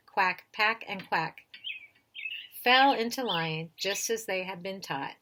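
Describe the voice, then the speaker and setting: A woman reading a children's picture book aloud in an expressive way, with little asides to her listeners. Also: mid-range voice. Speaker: storybook reader. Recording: noisy recording with chirping birdsong.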